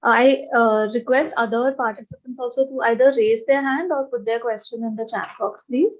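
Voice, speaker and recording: An Indian-accented woman moderates a webinar, her slightly high voice coming through dull, lo-fi call audio. She keeps things short and courteous. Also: moderate pace. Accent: Indian English. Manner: courteous, brief. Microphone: video call.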